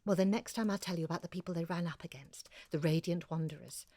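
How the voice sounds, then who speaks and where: Lowish, dry-miked eager woman researcher. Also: fast, mellow, dry recording. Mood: coaxing. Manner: conversational, confiding, effusive. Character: chatty, earnest amateur woman researcher, eager to share. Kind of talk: conversational audio drama monologue.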